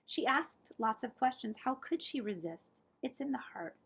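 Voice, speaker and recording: Woman, high voice, slight creak, storybook read-aloud, very expressive, dramatic emphasis. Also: normal speed. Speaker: librarian reading a picture book aloud to children.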